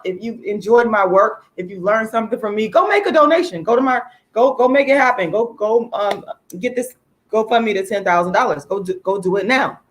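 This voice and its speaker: A woman hosting a casual livestream, speaking to viewers in an informal, outspoken, emphatic way with a slightly hoarse voice. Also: fairly high pitch. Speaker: livestream host, activist.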